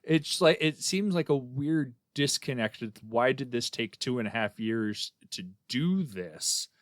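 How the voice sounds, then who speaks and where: A man's voice: mid-range voice, expressive, unhurried, dry recording, clean studio sound. A man speaking casually and giving his opinions as a panelist in a discussion about comics. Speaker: podcast panelist reviewing comics.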